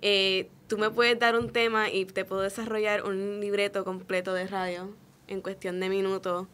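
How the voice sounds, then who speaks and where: Young woman, very even intonation. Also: medium pitch, moderate pace, clean tone. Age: young adult. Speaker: interview guest, radio production student. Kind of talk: conversational interview answers.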